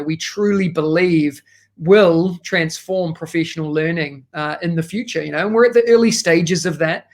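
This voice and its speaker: Upbeat New Zealand man, slightly high voice. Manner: enthusiastic, many fillers. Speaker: guest, education consultant. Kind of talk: casual podcast interview.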